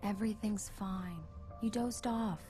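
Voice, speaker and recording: A woman playing a gentle, affectionate young wife in a video game cutscene, speaking softly and soothingly. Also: mid-range voice, measured pace, music in the background, natural voice. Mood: tender, soothing.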